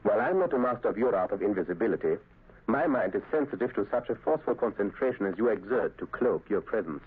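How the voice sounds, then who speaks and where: A suave menacing doctor. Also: male voice, lowish voice, expressive. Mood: suave menace. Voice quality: smooth, deliberate villain delivery. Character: scheming male saboteur doctor, suave and menacing. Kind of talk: 1930s radio drama acting. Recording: tinny old radio recording, hiss.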